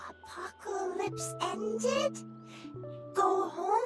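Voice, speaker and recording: Tiny halting fungus creature. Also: female voice, extremely high pitch, exaggerated voice. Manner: halting, few words, struggles with language. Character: small fungus creature, timid, broken speech. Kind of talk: video game voice acting. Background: game music.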